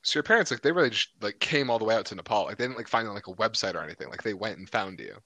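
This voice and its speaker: A man's voice: lowish voice, lively intonation, racing, pushed and bright, no echo. A male podcast co-host, joking and teasing his guest in a casual interview and peppering his talk with "like.".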